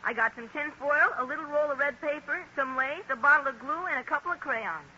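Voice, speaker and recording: High, bright schoolboy son. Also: male voice, a little fast, full character voice. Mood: earnest. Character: earnest, literal-minded young schoolboy son. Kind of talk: 1940s radio sitcom acting. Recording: noisy muffled 1940s radio recording.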